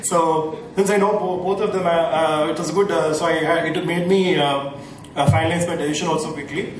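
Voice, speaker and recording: A man giving a halting launch speech as a team owner, full of fillers and restarts. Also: a little high, fairly even intonation. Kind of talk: hesitant public speech. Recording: echoey event hall, distant mic, faint noise.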